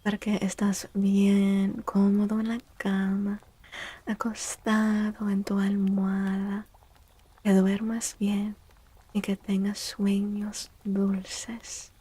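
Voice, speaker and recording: A woman livestream host speaking in a soft, gentle ASMR style, often half-whispering in a breathy, soothing and affectionate way. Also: mid-range voice, completely flat delivery, takes it slowly. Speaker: livestream host doing tarot readings.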